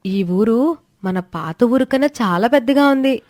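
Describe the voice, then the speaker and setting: A young daughter-in-law, voiced by a woman for a family moral tale. Her voice sits high and dry, with earnest, questioning concern and a clever, respectful manner. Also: animated, measured pace, darkish tone, dry recording.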